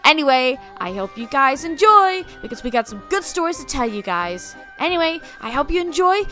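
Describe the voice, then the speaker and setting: Casting: female show host, exuberant and theatrical, lightly high sing-song voice over background music. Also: big pitch swings, normal speed, sharp tone. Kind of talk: theatrical show introduction to viewers.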